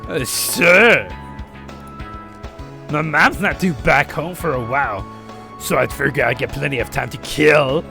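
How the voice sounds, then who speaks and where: A man voicing a teenage boy in a visual novel with a gravelly, strained, slow and stilted delivery. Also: a little high, bright, forceful tone, faint music behind, heavily acted. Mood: agreeable, formal. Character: teenage boy hero nicknamed after a robot villain.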